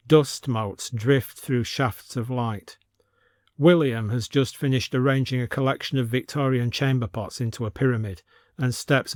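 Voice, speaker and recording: Guy narrating a scripted story, mid pitch, very dry recording. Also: dry recording.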